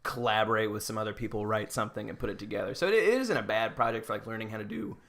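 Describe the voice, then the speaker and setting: A man's voice: lowish voice, lively intonation, very fast, a bit bright. A young man co-hosting a podcast, chatting informally and asking questions with a teasing manner. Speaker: podcast co-host. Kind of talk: casual chat, interviewing his co-host.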